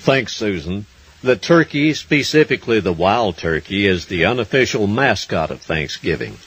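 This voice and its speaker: An older man with an American accent and a husky voice, announcing a scripted folksy stage show as host. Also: lowish voice, sing-song, normal speed, fairly bright tone. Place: wooden stage in a barn-style theatre. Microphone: stand microphone.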